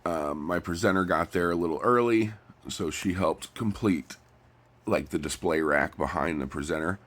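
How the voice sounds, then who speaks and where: A man with a stuffy nose chats casually in a personal vlog, rambling and halting, often repeating his phrases. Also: low-pitched, fairly bright tone. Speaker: vlogger. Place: recorded lying down.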